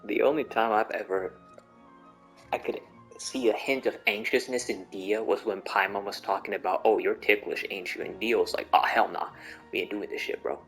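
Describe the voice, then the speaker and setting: A man's voice: lowish voice, fairly even intonation, normal speed, fairly bright tone. Slangy young man commenting on a game. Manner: slangy, joking, repeats phrases for emphasis. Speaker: game streamer. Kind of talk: casual reaction commentary on a game. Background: game music.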